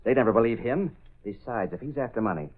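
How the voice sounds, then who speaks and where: Domineering crime boss, brusque, contemptuous, quick delivery, old radio crime drama, narrow lo-fi sound. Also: male voice, mid-range voice, expressive, husky. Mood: scheming, commanding. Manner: brusque, commanding. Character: domineering, impatient crook boss, hard and contemptuous. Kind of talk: 1930s radio drama acting. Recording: muffled old-time radio recording.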